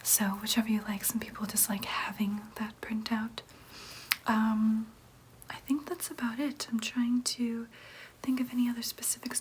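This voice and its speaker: A gentle vampire nurse played by a woman whispers softly and breathily in an ASMR roleplay. The voice is on the high side, careful and reassuring as it explains things to a patient. Clear background noise sits behind the whisper. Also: natural voice. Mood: helpful, businesslike. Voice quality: soft, breathy unvoiced whisper. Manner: soothing, explanatory, slow and careful.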